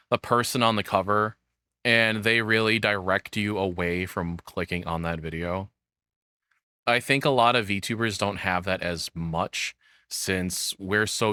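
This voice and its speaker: A man's voice: deep voice, pushed and bright, no echo, studio quality. A man giving casual, unscripted reaction commentary as a video host, blunt and profane, exclaiming when worked up.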